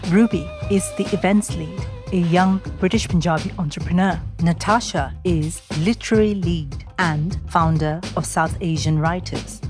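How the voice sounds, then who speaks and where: A woman from India hosting a podcast, reading a measured introduction and then interviewing a guest. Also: lowish voice. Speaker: podcast host.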